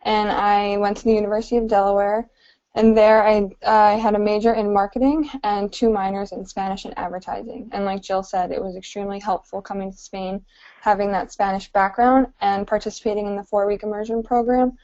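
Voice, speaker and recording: A woman's voice: medium pitch, level tone, fairly quick, a little mellow, no echo. A woman in her twenties with an American accent, introducing herself informally as a panelist on an online webinar. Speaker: teaching program participant speaking as a webinar panelist. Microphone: online webinar call. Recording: dull, dry lo-fi call audio.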